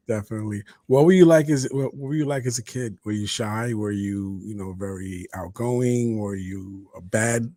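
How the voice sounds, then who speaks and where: A man's voice: on the low side, a little slow, a little mellow, dry recording. A man hosting a casual interview, asking long, rambling questions and laughing along with his guest. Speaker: interview host.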